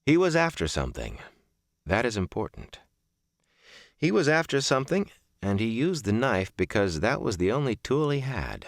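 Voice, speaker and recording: A man reading a first-person literary book as a narrator, with measured, deliberate phrasing and emphatic stresses. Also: mid-range voice, huge pitch swings, no echo, studio quality. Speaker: audiobook narrator. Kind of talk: scripted audiobook narration of a reflective first-person memoir novel.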